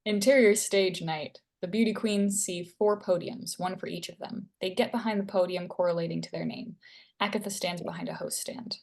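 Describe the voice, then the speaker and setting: A woman's voice: lowish voice, steady tone, normal speed, vocal fry. A woman narrating stage directions from a screenplay at a table read.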